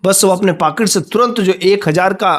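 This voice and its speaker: A man's voice: sits high, moderate pace. A man telling a moral folk tale informally, with conversational, repetitive phrasing. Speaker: storyteller.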